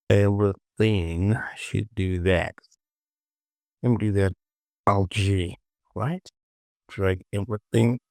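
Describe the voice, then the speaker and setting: Guy doing a laid-back 3d tutorial, slow talker, croaky voice, mutters short bits over again. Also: low-pitched, darkish tone, no room sound, studio quality. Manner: talks to himself while working, repeats short phrases. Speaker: 3D modeling tutorial presenter. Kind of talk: casual screen-recorded software tutorial.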